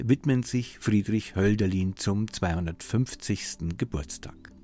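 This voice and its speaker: Male radio presenter, low voice, measured formal delivery, light music underneath. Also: creaky, darkish tone. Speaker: radio host and interviewer. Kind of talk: scripted radio introduction and interview.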